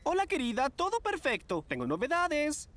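A woman's voice: sits high, wildly swooping pitch, moderate pace, a bit bright, slight background noise, heavily acted. A woman voicing a gushing, theatrical young male modeling agent in a children's cartoon, with a Latin American accent. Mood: gushing excitement. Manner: effusive, theatrical. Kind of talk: dubbed children's cartoon acting. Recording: light music behind the voice.

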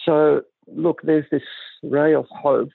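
Older male guest, gravelly and husky, measured and reflective, heard over a dry, tinny phone line. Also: on the high side, flat delivery, no echo. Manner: measured, thoughtful, halting with restarts. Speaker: guest psychiatrist. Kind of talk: reflective interview talk.

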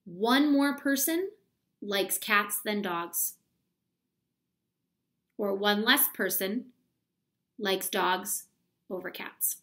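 A woman's voice: mid-range voice, drawn-out words, long pauses, a bit bright. A woman reads aloud to children as a teacher, explaining step by step and putting questions to them. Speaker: elementary school teacher reading aloud. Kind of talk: instructional read-aloud for children. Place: small room at home, indoors.

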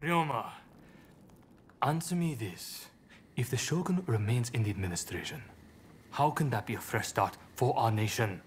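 An actor playing a composed, diplomatic young samurai leader in a video game cutscene, speaking in a measured, polite, thoughtful way. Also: male voice, mid-range voice, expressive, a little hiss or hum, lightly acted. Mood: earnest, troubled.

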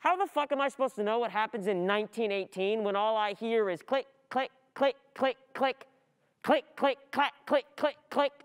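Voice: medium pitch, normal speed, clear, resonant tone, close to an everyday voice. A performer playing an overwhelmed, distracted student in a dramatic monologue, anxious and frustrated, agitated and repetitive, with thoughts spilling out. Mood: panicked. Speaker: performer in a radio play monologue. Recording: reverberant hall.

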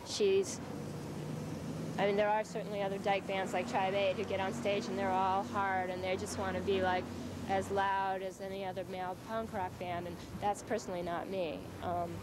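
Flat-toned young woman on hissy VHS tape. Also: medium pitch, normal speed. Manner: matter-of-fact, listing things steadily. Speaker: lead singer of a band. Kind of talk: documentary interview. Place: outdoors on a city street at night.

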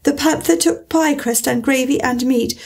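A woman's voice: high voice, unhurried, a little mellow, slightly rough, exaggerated voice. Woman doing a timid, trembling little Alice. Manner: timid, trembling recitation, eager. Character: polite, puzzled young girl Alice, timid.

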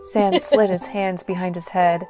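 A woman with a slightly low voice narrates a scripted story. She reads as the narrator of an audio drama, with music playing beneath her. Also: fairly even intonation, measured pace, warm tone.

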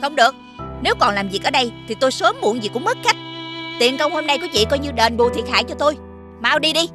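An exasperated village shopkeeper from a fairy-tale cartoon. She talks very fast in a high voice, brusque and dismissive, with music clearly playing behind her. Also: expressive. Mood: stern. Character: curt, exasperated female village eatery owner. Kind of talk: animated folktale dubbing.

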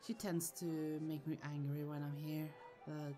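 A woman's voice: deep voice, flat delivery, measured pace. A woman playing a protective, easily annoyed sorceress sister in a fantasy audio roleplay, chatty, affectionate and firm. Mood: reassuring, confiding. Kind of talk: ASMR roleplay acting. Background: music.

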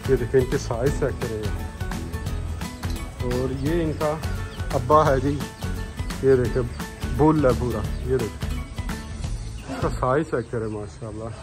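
A man's voice: medium pitch, a little slow, pauses often. A man, giving a casual, informal walk-around tour outdoors, often urging viewers to look. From Pakistan. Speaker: vlog host. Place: outdoors, roadside and open farm sheds. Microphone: phone at arm's length. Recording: background music under outdoor phone audio. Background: music.

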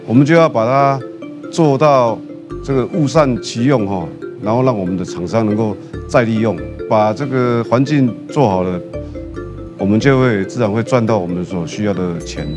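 A man's voice: lowish voice, moderate pace, over music. A man in his fifties, a factory owner, speaking earnestly and plainly in a factory. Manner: earnest, plain, repeating words. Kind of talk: interview. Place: factory, indoors.

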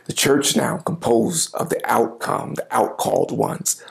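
Middle-aged pastor guy preaching from his home office, gravelly voice, lapel mic, reads verses then breaks them down. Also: mid-range voice, a little slow. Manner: animated gestures, reading and explaining scripture, occasional quiet asides. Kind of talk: sermon preached to camera. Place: home office with bookshelves, indoors. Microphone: lapel mic, seated at desk.

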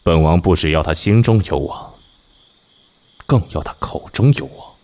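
A man's voice: deep voice, animated, moderate pace, a little mellow. An actor playing an imperious, possessive king in a historical audio drama, with a commanding regal manner.

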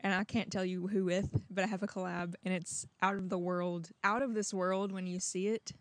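A woman's voice: fairly low pitch, flat delivery, normal speed, dry recording. A young woman hosting a livestream in casual chat, speaking into a handheld microphone outdoors. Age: young adult. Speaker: livestream host. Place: outdoors in a garden.

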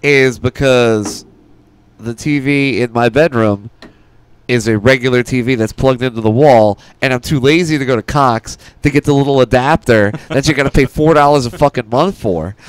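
A man in casual, playfully challenging podcast chat, questioning and animated, speaking close to a studio mic. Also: mid-range voice, moderate pace, clean tone, dry recording. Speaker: podcast co-host. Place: small podcast studio room. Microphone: close studio mic with headphones.

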